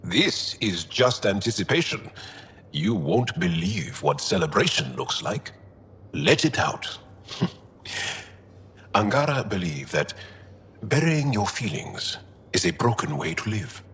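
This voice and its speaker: Male alien warrior, very raspy, low, slow and deliberate, earnest, game dialogue. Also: big pitch swings, a bit bright, heavily acted. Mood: triumphant.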